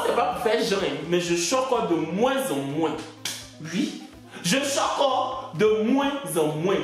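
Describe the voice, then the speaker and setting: A man from West Africa, delivering a stand-up comedy monologue in an indignant, mocking way and drawing out his punchlines. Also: high voice. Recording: very echoey room, faint music.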